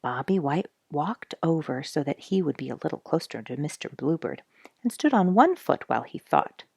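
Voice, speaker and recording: A woman storyteller reading a children's book expressively, with deliberate pauses and casual asides. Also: deep voice, big pitch swings, gravelly, a little mellow, breathy edge, no echo, studio quality.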